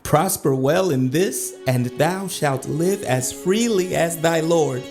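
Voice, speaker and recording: An actor playing a lovesick, grandiose duke in a classical audio drama, with languid declamatory verse. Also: male voice, fairly high pitch, slow pace, darkish tone, soft background music. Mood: eager, impassioned. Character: lovesick, grandiose, self-indulgent male duke.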